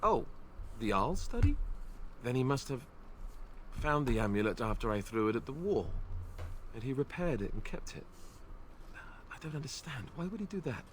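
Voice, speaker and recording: An actor voicing an earnest young warrior in a fantasy game, speaking hesitantly and with feeling. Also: male voice, mid-range voice, big pitch swings, normal speed, long pauses, slight background noise, lightly acted. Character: earnest, self-doubting young warrior man.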